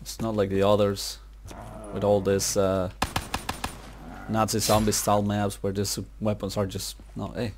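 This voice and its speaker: A man's voice: on the low side, monotone, unhurried, long pauses, background noise. A young man giving casual gameplay commentary in slangy language, muttering to himself as he plays. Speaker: gamer, video creator. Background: video game music and sounds.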